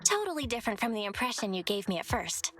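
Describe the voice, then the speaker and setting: A woman voicing a friendly, curious young woman in a video game, chatting in an expressive, casual and upbeat way. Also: fairly high pitch, fast, music in the background. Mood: friendly, amazed.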